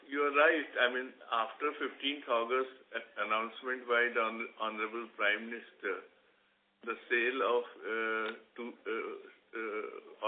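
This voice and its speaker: A man with an Indian accent answering as a company executive on an earnings call, halting and repeating words as he goes. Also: mid-range voice. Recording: narrow tinny phone-line conference call.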